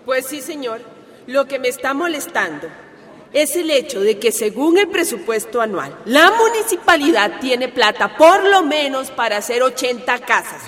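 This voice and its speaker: An actress playing a warm, plucky community woman with a Costa Rican accent, telling her life story chattily in a radio drama. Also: high-pitched, big pitch swings, normal speed, slightly rough, heavily acted. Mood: indignant, firm. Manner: chatty, folksy, emphatic.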